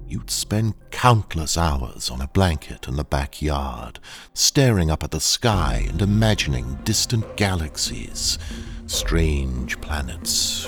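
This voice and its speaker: Very deep, sinister training engineer. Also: male voice, creaky, full character voice. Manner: slow, deliberate, condescending, hypnotically coaxing. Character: ruthless, sinister male training engineer, coolly brainwashing a captive. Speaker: voice actor in audio drama. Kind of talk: audio drama acting.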